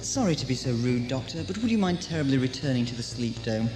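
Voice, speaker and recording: A man's voice: mid-range voice, sing-song, quick pace, close to an everyday voice. A man playing a polite, officious assistant keeper in an audio drama, courteous but insistent. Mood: polite, impatient. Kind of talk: 1980s amateur audio drama acting. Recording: reverberant hall, music behind.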